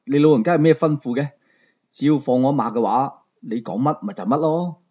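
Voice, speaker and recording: A man's voice: mid-range voice, fairly quick, warm tone, slightly rough, lo-fi sound, close to an everyday voice. A man voicing a cunning, ingratiating young captive with a Hong Kong Cantonese accent in a told story. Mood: fawning.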